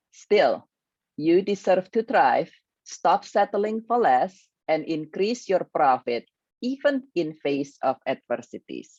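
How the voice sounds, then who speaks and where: A woman's voice: low-pitched, darkish tone, no echo, dull audio. A woman with an Indonesian accent, a grief coach giving an earnest, motivational online workshop.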